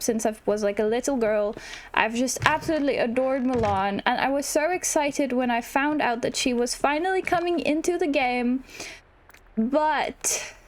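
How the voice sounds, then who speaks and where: A young woman doing casual, chatty let's play commentary, thinking out loud as she goes. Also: on the high side. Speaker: gaming YouTuber.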